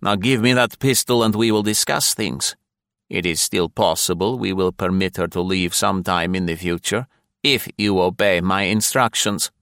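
A man's voice: low-pitched, normal speed, a bit bright, no echo, studio quality. A male narrator playing a cold, arrogant security police major, clipped and menacing. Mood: smug.

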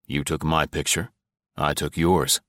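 A male narrator playing a tough, cool-headed American agent who speaks low and deliberately. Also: steady tone, a bit bright, no echo, studio quality.

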